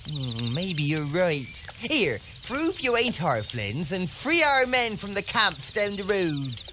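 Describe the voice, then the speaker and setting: Wary peasant, lively pitch. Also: male voice, takes it slowly, noisy background, full character voice. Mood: suspicious. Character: wary, grumbling peasant man guarding his village. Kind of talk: comic video game character acting.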